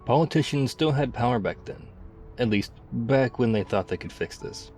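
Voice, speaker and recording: An actor playing a tough, weary, loyal zombie apocalypse survivor in an intimate ASMR roleplay, speaking conversationally. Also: male voice, mid-range voice, expressive, moderate pace, a little mellow, slight background noise, natural voice. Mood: weary, reflective.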